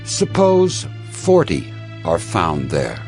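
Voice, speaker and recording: A man's voice: sits low, huge pitch swings, slow pace, darkish tone, soft background music, exaggerated voice. A man playing a humble old biblical patriarch, beseeching and deferential in a dramatized scripture reading. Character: humble old patriarch Abraham, reverently bargaining with God.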